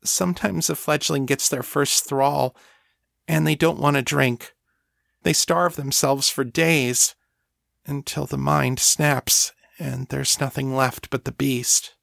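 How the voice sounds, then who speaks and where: Man voicing a timid, high-pitched thrall woman. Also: fairly even intonation, slow pace, creaky, no room sound, clean studio sound, exaggerated voice. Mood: worried, pleading. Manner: hesitant, pleading, soft-spoken. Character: timid, submissive half-elf thrall woman, anxious to please. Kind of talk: audiobook character acting.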